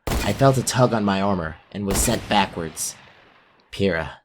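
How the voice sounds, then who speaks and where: A man's voice: on the low side, measured pace, noisy background, lightly acted. A man playing a brave but self-doubting young fantasy warrior, narrating his own story in an audio drama. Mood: earnest narration.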